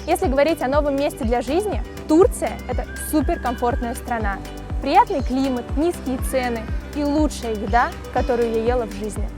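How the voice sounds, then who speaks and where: A woman with a high voice and a Russian accent hosts a travel vlog. She narrates fluently in her own language and puts short, simple questions in broken English. Music plays clearly behind her. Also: a little fast. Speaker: travel show host.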